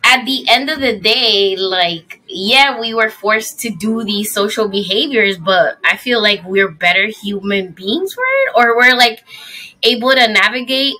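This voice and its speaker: A woman in her thirties from the Dominican Republic, hosting a podcast in casual, animated chat from a home room on a stand mic over a video call. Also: fairly high pitch, unhurried, poor audio. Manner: chatty, animated, acts out quotes. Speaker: podcast host. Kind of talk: casual conversational interview. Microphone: studio mic on a stand, headphones, video call.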